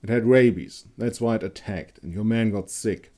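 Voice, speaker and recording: A man voicing a cocky young monster hunter with a defensive, snarling manner in a dark fantasy audio story. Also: lowish voice, normal speed, voice pushed up, natural voice. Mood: smooth, assured.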